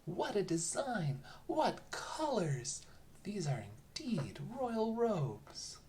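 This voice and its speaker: A reader voicing gushing, flattering courtiers and townsfolk in a fairy tale, with exaggerated admiration. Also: medium pitch, extremely slow, slight background noise, pitched above the performer's own voice, full character voice.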